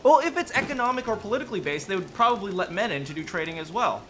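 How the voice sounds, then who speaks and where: A man's voice: high voice, very animated, racing, a bit bright, slight background noise. A man chatting casually about game lore, rambling with many restarts and frequent tag questions. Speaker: streamer discussing game lore with chat. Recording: faint music behind.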